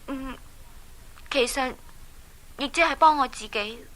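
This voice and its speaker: An actress with a Hong Kong Cantonese accent playing a plucky, caring young princess in a children's radio drama. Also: female voice, high voice, slow, poor audio. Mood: sincere. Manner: expressive, urging, exclamatory.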